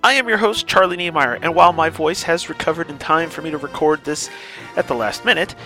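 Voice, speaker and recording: A man's voice: on the high side, brisk, faint music behind. A man hosting a podcast, talking casually with a stuffy voice after a sinus infection, joking and poking fun at himself. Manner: lively, joking and self-mocking. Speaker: podcast host.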